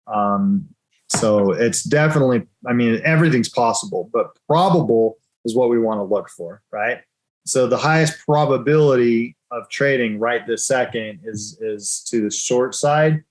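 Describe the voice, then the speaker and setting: A man's voice: mid-range voice, normal speed, low-quality recording. A man hosting a live currency trading room, explaining chart moves in a casual, joking way and laughing often.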